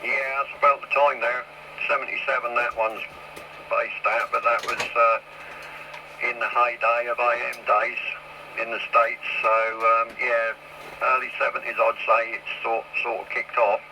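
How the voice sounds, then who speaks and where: A man's voice: medium pitch, little pitch movement, normal speed. A man chatting casually over CB radio. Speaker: CB radio hobbyist. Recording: noisy, crackly CB radio.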